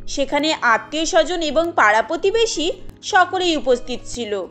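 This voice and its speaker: A woman's voice: sits high, animated, normal speed, fairly bright tone, soft background music. A woman narrating a cartoon family tale in a scripted storybook style.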